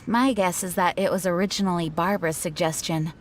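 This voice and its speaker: A woman's voice: fairly low pitch, steady tone, brisk, a little hiss or hum, close to an everyday voice. A woman voicing a dutiful, protective young female knight in a video game, composed, earnest and slightly formal. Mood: self-conscious. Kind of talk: video game character acting. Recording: music playing behind the voice.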